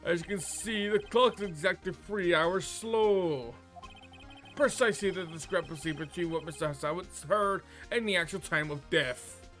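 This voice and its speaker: A man acts out an earnest male defense lawyer from a courtroom game. The voice is fairly high and bright, and the delivery dramatic. Music plays underneath. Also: normal speed. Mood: confident.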